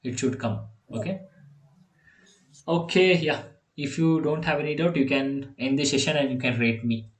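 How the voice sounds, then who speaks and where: A man's voice: medium pitch, normal speed. A young man from India, a native Telugu speaker with an Indian accent, tutoring a student over a video call, full of fillers and restarts. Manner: hesitant, many fillers and restarts. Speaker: online coding tutor. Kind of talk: casual online tutoring session. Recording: echoey video call audio.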